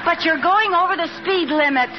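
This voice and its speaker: An actress playing a dramatic, nervous, wisecracking wife in an old radio comedy, with a theatrical, sarcastic delivery. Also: female voice, very high-pitched, brisk. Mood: annoyed, anxious. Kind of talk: 1940s-50s radio comedy acting. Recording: dull noisy old radio recording with audience.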